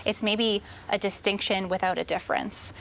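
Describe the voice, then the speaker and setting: Creaky-voiced woman asking interview questions. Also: medium pitch, steady tone, moderate pace, a little hiss or hum. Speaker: news interviewer.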